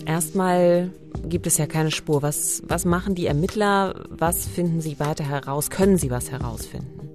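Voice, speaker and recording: A woman's voice: deep voice, normal speed, darkish tone, soft background music. A woman co-hosting a true-crime podcast, narrating and putting questions to her colleague. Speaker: podcast co-host.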